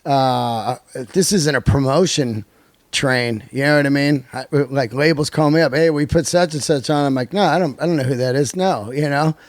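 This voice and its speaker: A man's voice: medium pitch, normal speed, no room sound. A man hosting a casual podcast chat, talking in slangy, conversational phrases with keen interjections. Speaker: podcast host.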